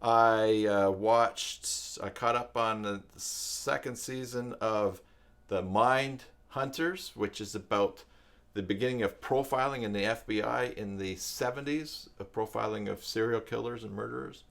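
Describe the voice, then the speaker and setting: An older man talks casually as a live stream host. His voice sits on the low side. He rambles reflectively, pausing to find his words. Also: vocal fry, a bit bright. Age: older, with grandchildren. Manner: rambling, reflective, hesitant pauses.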